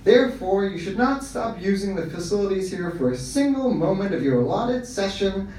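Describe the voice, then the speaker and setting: A glossy, salesy public-announcement voice, as a man reading a story puts it on, pitched up high and pushed loud and cheerful. It rings through a very echoey room, heard from some distance off the mic with a faint hum behind it. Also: expressive, moderate pace. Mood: officious. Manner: bright promotional, salesy. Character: slick upbeat public announcement voice.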